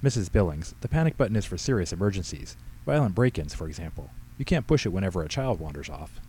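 A man acts out a small-town police officer in a storybook tale. He is patient and a little weary, asking questions in a dry, businesslike way with a very mellow male voice. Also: low-pitched, sing-song, quick pace, a little hiss or hum. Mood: weary, puzzled.

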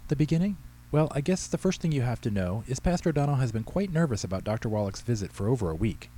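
A man reads as a middle-aged church secretary in a storybook tale, a nervous and easily flustered woman. She explains herself at anxious, apologetic length in a raised, very mellow voice. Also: medium pitch, sing-song, fast talker, pitched above the performer's own voice. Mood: anxious explaining.

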